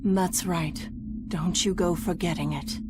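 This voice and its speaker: Slow, cool, lowish fantasy woman. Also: steady tone. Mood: cool, probing. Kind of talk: dramatic anime game voice acting. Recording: clear ambient noise behind.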